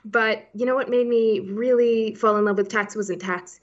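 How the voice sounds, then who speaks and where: A woman's voice: a little high, fairly even intonation, moderate pace, lo-fi sound. A woman in her thirties from Hong Kong, born in Switzerland, answering interview questions conversationally with plenty of "you know" asides. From Switzerland-born, raised in Hong Kong. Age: 30s. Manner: chatty, peppered with "you know". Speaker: podcast guest, startup founder.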